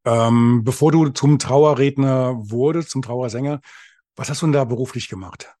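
A man's voice: mid-range voice, normal speed, dark tone, dry recording, clean studio sound. A man interviewing a guest, asking curious questions with some hesitation.

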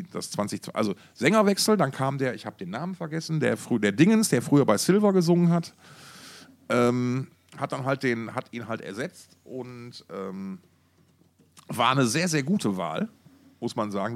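A man's voice: medium pitch, very animated, moderate pace. Man chatting casually on a music podcast. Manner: colloquial, slangy, thinking aloud with broken, repeated phrases. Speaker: podcast co-host.

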